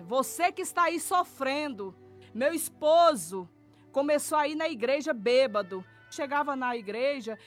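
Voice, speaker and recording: High-voiced Brazilian woman giving church testimony. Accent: Brazilian, São Paulo state. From São Paulo state, Brazil. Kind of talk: personal religious testimony addressed to listeners. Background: music.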